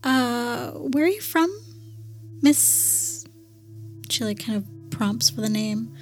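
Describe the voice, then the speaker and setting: A woman playing a tabletop roleplaying game in a fairly high voice, politely questioning others in character. She moves between narrating her actions and speaking as her character, over faint music. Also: slow pace. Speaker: player.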